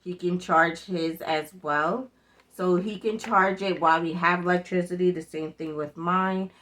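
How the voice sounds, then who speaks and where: A woman's voice: lowish voice, steady tone, unhurried, a bit bright. A middle-aged woman chatting casually as she hosts a demonstration video, repeating her points for emphasis. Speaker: prepper vlog host. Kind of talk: casual product demonstration chat.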